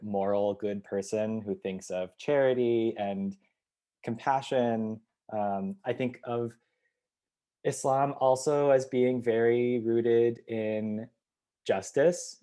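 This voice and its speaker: A man's voice: mid-range voice, mellow. A man from Ohio, a community organizer, giving reflective interview answers and thinking aloud with many fillers and restarts. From United States, Ohio.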